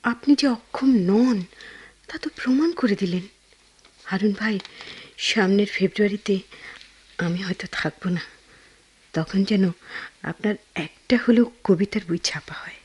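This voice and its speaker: A devoted, quietly lonely woman in a Bangladeshi radio play. She speaks at a medium pitch with a breathy, husky edge and an earnest, heartfelt Bangladeshi accent. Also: a little slow, long pauses. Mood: gentle, encouraging. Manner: soft, breathy, heartfelt delivery. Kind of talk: Bengali radio drama acting.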